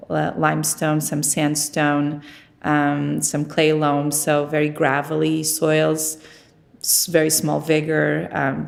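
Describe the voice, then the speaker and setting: Woman with an even, creaky, lowish voice. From Portugal. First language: Portuguese. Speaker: winemaker being interviewed. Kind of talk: conversational interview about own work.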